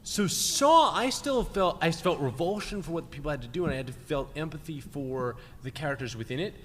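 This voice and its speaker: A man's voice: fairly high pitch, animated, a little fast, fairly bright tone. A man explaining his points emphatically as a guest panelist in a live discussion, often checking in with the listeners. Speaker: guest panelist, game designer. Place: large indoor convention panel room. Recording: reverberant convention hall with background noise.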